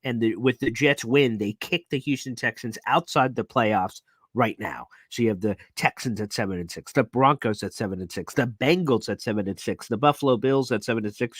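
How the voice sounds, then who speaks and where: A man hosting a live sports talk show, walking through standings with growing excitement. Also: mid-range voice, dry recording, clean studio sound. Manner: excitable, hyped breakdown of standings.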